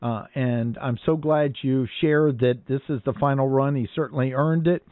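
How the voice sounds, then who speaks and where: A man's voice: medium pitch, steady tone, moderate pace, dry recording. An American man hosting an amateur radio net in a conversational, appreciative and polite way. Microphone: internet-linked ham radio transmission. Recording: narrow phone-like radio link audio.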